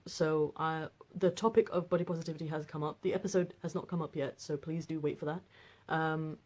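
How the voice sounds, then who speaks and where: Deep-voiced woman in her 20s, rambly vlog chat, lots of ums and restarts. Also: a little mellow. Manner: rambling, frequent fillers and restarts. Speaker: vlogger. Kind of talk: casual personal vlog.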